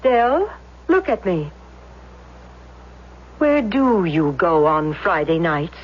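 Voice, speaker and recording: An actress playing a troubled, suspicious wife, hesitant and probing, in a 1970s radio drama. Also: female voice, on the low side, animated, takes it slowly. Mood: tense, accusing. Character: troubled, suspicious wife confronting her husband. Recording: muffled old radio recording.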